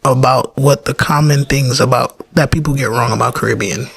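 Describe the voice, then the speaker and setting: A man calmly listing points to camera in a matter-of-fact way. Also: mid-range voice, normal speed, creaky, breathy edge.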